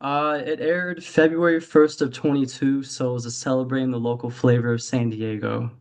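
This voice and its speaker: A man in his thirties, a returning contestant, answering briefly and relaxed, with small fillers. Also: medium pitch, normal speed. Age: 30s. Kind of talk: casual chat.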